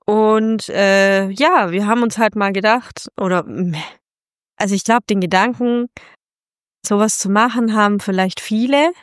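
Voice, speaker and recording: A woman's voice: medium pitch, steady tone, measured pace, clear, resonant tone, dry recording, studio quality. A woman in her late thirties co-hosting a casual podcast, friendly and a little hesitant with fillers. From Germany. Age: 30s. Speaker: podcast co-host. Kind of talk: casual chat.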